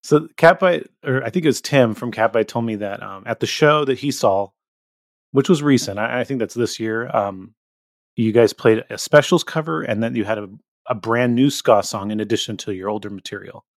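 A man's voice: medium pitch, normal speed. A man hosting a music podcast, introducing and interviewing guests. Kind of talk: scripted introduction and casual interviewing.

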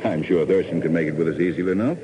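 An actor playing an affable, breezy professor in a 1950s radio drama. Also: male voice, low voice, racing, natural voice. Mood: friendly, persuasive. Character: affable, easygoing professor joining a mountain climb. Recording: noisy old radio broadcast.

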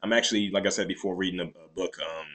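A man co-hosting a podcast, chatting crudely and loudly, often laughing at his own jokes. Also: sits low, fast. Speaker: podcast co-host. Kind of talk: crude casual chat.

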